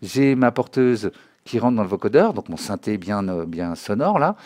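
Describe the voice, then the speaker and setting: A man giving an informal spoken software tutorial in a didactic way, often checking that the listener follows. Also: on the low side, animated, normal speed, background noise. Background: synthesizer and music demo sounds.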